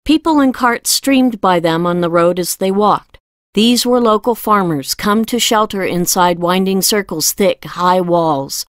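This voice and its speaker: A woman's voice: medium pitch, measured pace, no room sound, studio quality. A woman reading scripted narration from a fantasy novel as an audiobook narrator.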